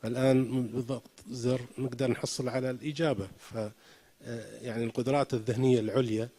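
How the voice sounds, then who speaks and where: A native Arabic-speaking man poses a long, formal question from the floor of a conference hall. He speaks in rapid bursts with a mid-range voice and keeps stopping on hesitant fillers. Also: medium pitch, level tone, mellow. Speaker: audience member asking a question.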